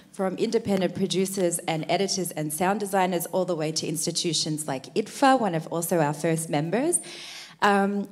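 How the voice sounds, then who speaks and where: A woman's voice: fairly low pitch, darkish tone. A middle-aged woman with a handheld mic, opening a conference panel on a theater stage in a chatty, self-deprecating way with many fillers. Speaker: panel host, association co-founder. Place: large dark theater stage. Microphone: handheld microphone.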